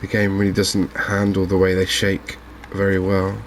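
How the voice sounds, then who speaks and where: Low, monotone, soft-spoken man. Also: moderate pace, slight background noise. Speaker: gaming video host. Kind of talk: softly spoken ASMR game commentary.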